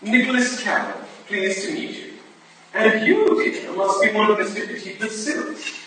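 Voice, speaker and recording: A man's voice: high voice, lively intonation, normal speed, fairly bright tone. A man playing a suave, flirtatious lord who boasts of his ballet patronage, florid and urbane, in a staged period drama reading. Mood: courtly. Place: staged reading in a large echoey room. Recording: echoey hall from far off, faint noise.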